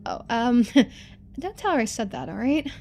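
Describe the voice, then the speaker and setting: A woman's voice: fairly high pitch, sing-song, a little slow, darkish tone, a little hiss or hum. A woman voicing a chatty, earnest young video maker from a story game, speaking casually and expressively. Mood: gossipy.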